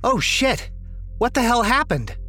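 A man voicing a jumpy teenage boy who asks raised, excited questions. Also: very high voice, unhurried, soft background music, pitched above the performer's own voice, full character voice. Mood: startled.

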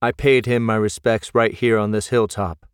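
A man's voice: fairly low pitch, little pitch movement, moderate pace, smooth, dry recording, studio quality, lightly acted. A man voicing a defensive young man grieving his friend, speaking curtly. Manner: curt, defensive.

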